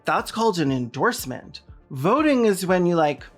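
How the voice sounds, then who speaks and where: A video essayist with a gravelly, creaky voice delivers sardonic political commentary. The tone is mocking and pointed, over faint background music. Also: mid-range voice, sing-song, moderate pace. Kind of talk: scripted sarcastic political commentary.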